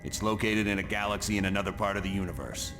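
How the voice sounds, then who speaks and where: A man's voice: lowish voice, fairly even intonation, big-room sound, music in the background, lightly acted. A man playing a stern, no-nonsense commander in a video game, giving an authoritative mission briefing. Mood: businesslike. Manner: brisk, authoritative.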